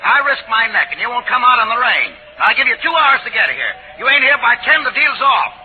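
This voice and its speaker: A crackly, narrow 1940s radio recording carries a bullying gangster boss. He swings widely in pitch, barking orders in street slang, mocking and impatient. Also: sing-song, fairly quick. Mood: angry, impatient. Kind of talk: 1940s radio drama acting.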